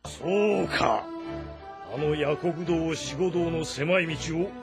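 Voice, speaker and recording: An actor playing a proud, loyal general in his fifties from ancient China, gravelly and weighty in a historical drama. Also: on the high side, slow pace, music in the background. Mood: triumphant, impressed. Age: 50s. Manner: weighty, commanding, formal. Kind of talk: Japanese historical audio drama acting.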